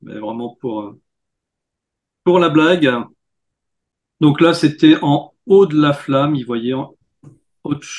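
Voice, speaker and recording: A man hosting a paranormal news show, chatting in a relaxed way and pointing out details in pictures. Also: medium pitch, gravelly. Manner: relaxed, pointing things out on screen. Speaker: host. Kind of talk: casual discussion.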